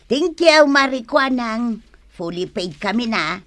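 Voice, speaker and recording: A woman's voice: fairly high pitch, lively intonation, takes it slowly, fairly bright tone, no room sound. A woman playing a polite village customer in a comedic radio drama, speaking with a Northern Philippine accent. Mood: polite, easygoing. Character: polite village woman settling her store debt.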